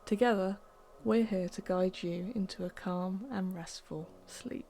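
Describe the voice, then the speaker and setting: A woman's voice: mid-range voice, fairly even intonation, unhurried, creaky, dark tone, a little hiss or hum. An English woman co-hosting a sleep show, giving a soothing scripted introduction. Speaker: co-host of a sleep story show.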